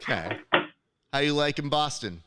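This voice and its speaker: A man's voice: a little high, lively intonation, normal speed, heavy background noise. A man hosting a casual post-show chat, joking, teasing and rambling as he runs the segment. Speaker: show host.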